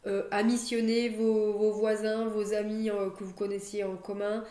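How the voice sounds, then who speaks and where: A woman's voice: medium pitch, little pitch movement, moderate pace, warm tone. Young woman with a cold, chatty tarot reader at home, echoey computer-mic sound. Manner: chatty, many fillers and restarts. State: has a cold, coughing. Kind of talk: monthly astrology card reading. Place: home, near a stairwell.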